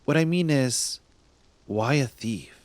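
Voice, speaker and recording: A man playing a smug cop secretly smitten with a thief in an intimate ASMR roleplay, teasing and halting, sometimes dropping to a breathy murmur. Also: medium pitch, animated, no echo. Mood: probing, softening. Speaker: voice actor in audio roleplay. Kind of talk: ASMR roleplay acting, addressed to the listener.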